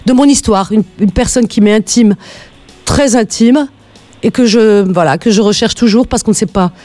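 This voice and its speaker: A woman's voice: on the high side, moderate pace, dark tone, soft background music. A woman hosting a live radio call-in, speaking in an earnest, emphatic way and repeating phrases for emphasis.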